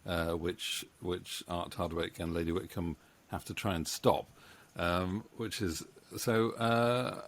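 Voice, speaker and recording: A man's voice: sits low, fairly even intonation, slow pace. A middle-aged man hosting a casual interview, hesitant and rambling, speaking close to a microphone at home. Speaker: interview host and co-writer. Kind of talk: casual video-call interview. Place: book-lined home room. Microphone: studio microphone on boom arm, close.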